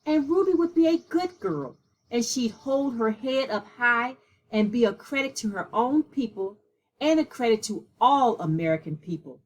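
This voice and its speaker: Woman reading as a devout, earnest mother, slightly husky. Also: on the high side, animated, moderate pace, poor audio. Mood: solemn, hopeful.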